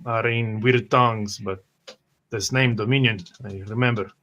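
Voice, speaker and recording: A man playing a tabletop roleplay game, narrating his actions in a halting, word-repeating way. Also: mid-range voice, steady tone, bright, forceful tone, lo-fi sound.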